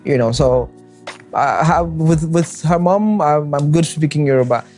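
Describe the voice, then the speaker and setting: A man's voice: a little high, measured pace, darkish tone, soft background music. Joking Nigerian man telling a story. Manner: jokey, storytelling, repeats words. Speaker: guest, husband. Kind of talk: casual podcast chat.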